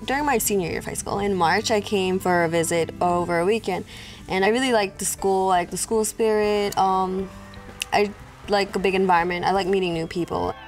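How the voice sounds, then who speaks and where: A woman's voice: medium pitch, steady tone, brisk, faint music behind. A woman engineering student from New York, originally from Ecuador, talking in a friendly, straightforward way. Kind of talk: interview answers.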